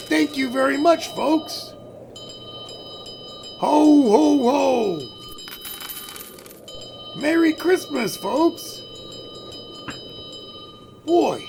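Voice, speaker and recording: An actor playing a good-natured, folksy husband volunteering as a jolly street Santa in old-time radio comedy style. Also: male voice, very high voice, vocal fry, a little mellow, slight background noise, exaggerated voice.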